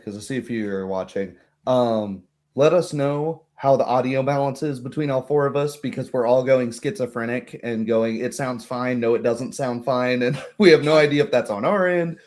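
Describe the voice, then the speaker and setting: A man chats casually as the host of an online tabletop game. His pitch moves around in a very animated way. He rambles and hesitates good-humoredly, breaking easily into laughter. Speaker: host and game master of a streamed tabletop roleplaying game. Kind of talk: casual livestream chat.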